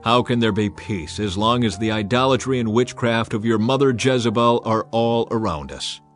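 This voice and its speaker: A man voicing a bold, defiant ancient army commander in a raised, forceful voice, within a scripture reading. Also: on the low side, moderate pace, faint music behind, lightly acted.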